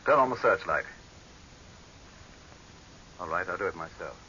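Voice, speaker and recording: An imperious dictator in a 1930s radio horror play. His low voice is forceful and piercing, swinging dramatically as scornful command gives way to panic. The old recording is dull and faintly hissy. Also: expressive, unhurried, exaggerated voice. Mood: angry, commanding. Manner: imperious, haughty, barking orders. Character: pompous tyrannical male dictator, commanding, scornful, cracking into panic.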